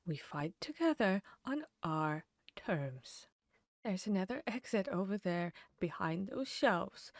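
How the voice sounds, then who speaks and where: A woman's voice: lowish voice, creaky, dry recording, clean studio sound, full character voice. A woman playing a protective, caring vampire hunter in an intimate fantasy audio roleplay, speaking directly to the listener. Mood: soothing, urgent. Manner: intimate, addressing the listener directly, shifting between hushed and urgent.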